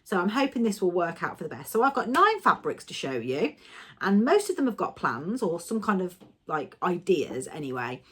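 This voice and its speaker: A woman chats to her camera in a rambling, friendly way, a little nasal and stuffy from a cold. Her voice sits fairly low but swoops up and down with lively intonation. She often corrects herself as she goes. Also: normal speed, slightly rough. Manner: chatty, rambling, self-correcting. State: has a cold, slightly nasal and coughing. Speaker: sewing vlogger. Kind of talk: casual vlog chat.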